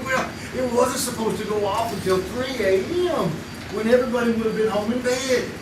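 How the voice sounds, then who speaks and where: An actor playing a small-town would-be bank robber who mutters and gloats to himself in a live radio play. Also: male voice, very high voice, normal speed. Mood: sheepish. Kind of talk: live old-time radio drama acting. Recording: roomy live stage, distant mic, noisy.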